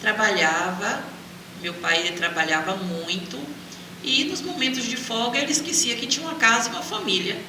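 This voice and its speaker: A woman's voice: fairly high pitch, moderate pace, sharp tone. A middle-aged woman from Brazil with a Bahian accent, telling her life story as an interview guest. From Salvador, Bahia, Brazil. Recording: echoey, distant mic, clear background noise.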